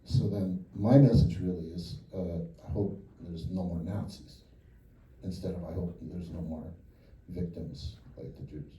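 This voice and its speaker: A man with a low, dark, mellow voice talks through his ideas in a loose, rambling way, full of fillers and false starts. He is picked up from a distance in a reverberant cinema hall. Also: fairly even intonation, measured pace, a little hiss or hum. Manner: rambling, thinking aloud with many fillers. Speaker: film director. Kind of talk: post-screening Q&A. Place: cinema auditorium. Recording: echoey theater from far off.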